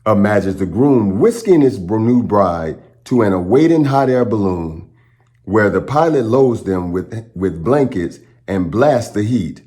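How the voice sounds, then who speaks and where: A man reading a children's story aloud as a storytime host, with dramatic, pausing phrasing aimed at young listeners. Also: low voice, warm tone. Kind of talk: expressive picture-book read-aloud.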